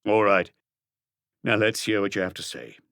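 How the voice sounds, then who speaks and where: A man voicing a calm, ironic police inspector who questions in an unhurried, probing way in a mystery audiobook. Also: on the low side, no room sound, studio quality. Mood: dry, probing.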